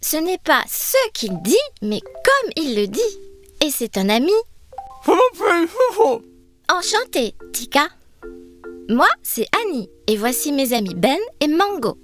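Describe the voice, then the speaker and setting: A woman voicing a brave, curious little girl in a children's cartoon, eager and expressive as she explains things. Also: high voice, takes it slowly, long pauses, faint music behind, full character voice. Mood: curious, friendly.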